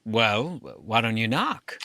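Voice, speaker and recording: A man's voice: medium pitch, expressive, takes it slowly, pushed and bright, no room sound, heavily acted. A man playing a jealous, exasperated husband in a 1940s-style radio sitcom, with emphatic delivery. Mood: sheepish.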